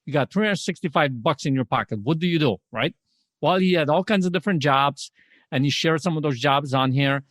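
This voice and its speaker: A man's voice: on the high side, brisk, fairly bright tone, dry recording, studio quality. A man hosting a podcast in an upbeat, emphatic, motivational way, often posing rhetorical questions as he introduces and interviews. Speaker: podcast host.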